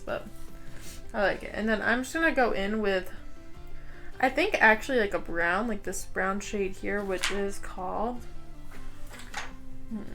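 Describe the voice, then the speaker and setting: A young American woman chatting casually while doing her makeup, rambling with lots of "like" and "um". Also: medium pitch. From United States. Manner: chatty, rambling, heavy use of "like" and "um". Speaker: beauty vlogger. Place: home, indoors.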